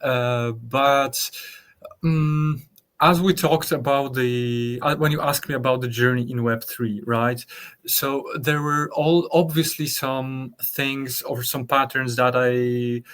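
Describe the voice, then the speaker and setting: A young man with a Polish accent talks through his ideas in an interview. He speaks carefully, pausing often and restarting as he looks for the right English word. His delivery is reflective and unhurried. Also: medium pitch, steady tone, a bit bright, smooth. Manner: thoughtful, hesitant, many fillers and restarts. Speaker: guest artist. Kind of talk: conversational interview.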